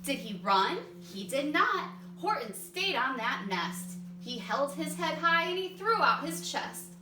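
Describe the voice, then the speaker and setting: A woman reading a children's story aloud in an expressive style. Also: sits high, a little slow, over music. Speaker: storybook reader. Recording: echoey room, distant mic, background noise.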